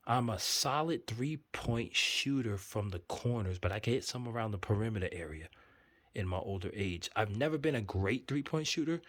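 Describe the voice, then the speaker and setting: A man's voice: on the low side, expressive, normal speed, no echo. A man, giving a rambling, self-assured spoken update full of numbers. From New York City. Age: 30s. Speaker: vlogger.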